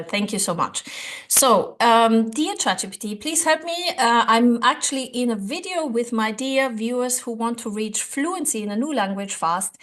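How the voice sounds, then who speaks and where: A woman whose first language is German speaks English in a somewhat high voice, earnest and rambling. She gives a casual lesson to camera, pausing on fillers and restarting her sentences as she thinks. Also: fairly bright tone. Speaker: language-learning YouTuber.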